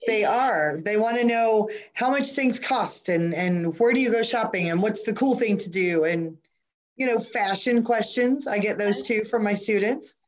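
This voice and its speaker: Older woman, Spanish teacher, mid pitch, quick chatty delivery, webcam audio. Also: poor audio. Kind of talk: video call chat. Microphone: laptop webcam video call.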